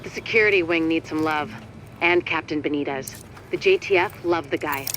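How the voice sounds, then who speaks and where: Actor as a higher-pitched, blunt male field agent. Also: moderate pace, fairly bright tone, soft background music, natural voice, poor audio. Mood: blunt, urgent. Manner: plain-spoken, matter-of-fact, profane. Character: gruff, blunt field agent rallying the base. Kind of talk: video game cutscene voice acting.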